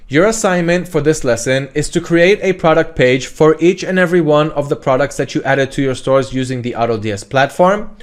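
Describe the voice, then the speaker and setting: A man instructing an online course with a screen-recorded tutorial, talking in an upbeat, direct way and often saying okay. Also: on the high side.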